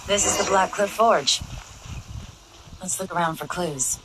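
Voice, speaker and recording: A woman playing a shrewd, composed young woman investigator in a video game, speaking in a cool, measured, authoritative way. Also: on the low side, heavy background noise, lightly acted. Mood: cool, analytical. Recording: loud music behind.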